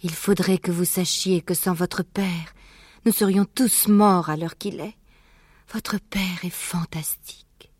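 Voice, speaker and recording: Man putting on a high-pitched anxious mother fox. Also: moderate pace, a little mellow, no echo, clean studio sound. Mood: tender, admiring. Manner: trembling, pleading.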